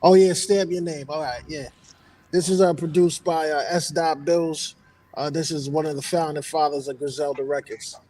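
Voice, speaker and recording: A man's voice: on the high side, fairly even intonation, normal speed, mellow. A man from New York, a rapper, talking easily about his background on a music show with lots of "um"s. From New York, USA. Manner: easygoing, thankful, filler-heavy. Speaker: rapper guest. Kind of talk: casual interview.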